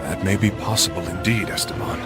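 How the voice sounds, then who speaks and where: Man playing a grim crusader knight over loud music. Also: a little fast, close to an everyday voice. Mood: grave, measured. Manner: solemn, formal archaic phrasing. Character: grim, resolute young crusader knight, fiercely righteous. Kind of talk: dramatic video game cutscene acting. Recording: loud music and rumble behind.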